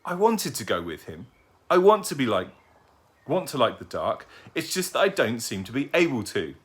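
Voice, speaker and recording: A man's voice: mid-range voice, very animated, moderate pace, a bit bright, pitched above the performer's own voice. A man doing an eager little boy owl. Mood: earnest. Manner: earnest and a little whiny. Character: small, eager, anxious baby boy owl.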